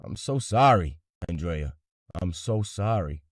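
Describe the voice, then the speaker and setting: A man playing a concerned, protective survivor in a horror comic dub, with a husky voice. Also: deep voice, lively intonation, a little slow, no echo, studio quality. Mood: sorrowful.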